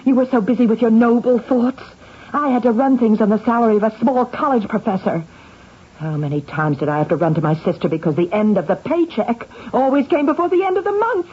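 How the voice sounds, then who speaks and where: Bitter pushy wife in an old radio play, higher husky voice, muffled lo-fi sound. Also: female voice, animated, brisk. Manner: insistent, theatrical. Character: bitter, scheming wife of a poorly paid professor. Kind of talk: radio drama acting. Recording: dull, lo-fi old radio broadcast.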